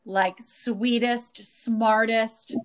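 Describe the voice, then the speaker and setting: A woman co-hosting a casual podcast, gushing warmly about her guest and filling her talk with "like". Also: fairly high pitch, fairly even intonation, drawn-out words, a loud crowd. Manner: gushing, uses many "like" fillers. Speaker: podcast co-host.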